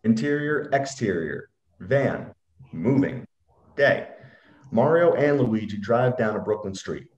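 Young male narrator, very varied pitch, reading script directions in a roomy video-call sound. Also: expressive, slow pace, highs cut off. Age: young adult. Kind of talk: dramatic screenplay read-aloud. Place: room at home, on a video call.

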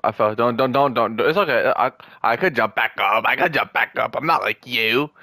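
A man's voice: medium pitch, moderate pace, sharp tone, dry recording, clean studio sound. A young man in casual gaming chat with a friend, joking and trash-talking and often repeating his words. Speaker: gamer.

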